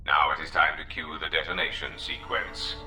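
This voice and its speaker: A cold, calculating droid crime lord from a video game, with an extremely deep voice. The delivery is formal and menacing, with a robotic tint, echoing from a distance under music. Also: male voice, monotone, normal speed. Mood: cold menace. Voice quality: very deep, gravelly.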